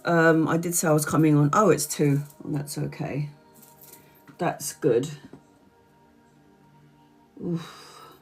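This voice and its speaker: A woman's voice: low voice, steady tone, takes it slowly, long pauses, breathy edge, soft background music. A congested woman tarot reader chatting casually on her livestream, tired and rambling.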